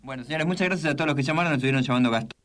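An Argentine man works as a radio host, steering a comic interview. His voice sits at an average male pitch, and he keeps it conversational, putting questions to his guest. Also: rapid-fire, background noise.

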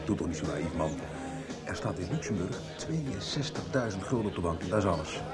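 A hard-nosed criminal man in a radio drama. His low voice is blunt and scornful, brushing people off with dry sarcasm. Music plays clearly behind him. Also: animated, a little fast. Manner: blunt, dismissive, sardonic. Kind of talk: 1990s Dutch radio soap acting.

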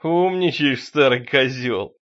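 A man voicing a crazed, menacing sexton, a fanatical zealot who taunts loudly with drawn-out words in a horror audiobook. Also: on the high side, lively intonation, lo-fi sound. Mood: taunting.

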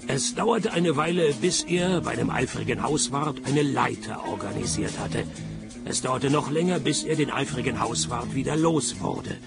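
An actor playing a world-weary, sardonic private detective in a radio play, narrating and talking in a laconic deadpan. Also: male voice, medium pitch, moderate pace, vocal fry, loud music behind the voice, natural voice. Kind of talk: first-person narration and dialogue in radio play.

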